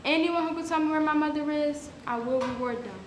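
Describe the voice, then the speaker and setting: A woman's voice: sits high, a little fast, lightly acted. A woman performing a dramatic reading of a historical ad as an earnest freedwoman searching for her mother. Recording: echoey hall with clear noise.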